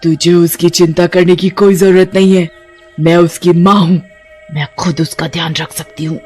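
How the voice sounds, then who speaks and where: A woman's voice: on the low side, level tone, moderate pace, a little mellow, breathy edge, soft background music. A woman voicing a menacing witch ghost in a cartoon, a fiercely protective mother with a threatening drawl. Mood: stern, protective. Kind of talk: Hindi cartoon villain voice acting.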